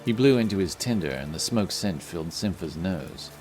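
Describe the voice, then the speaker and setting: Deep guy narrating a fantasy story, scripted, music playing underneath. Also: expressive, moderate pace, a little mellow.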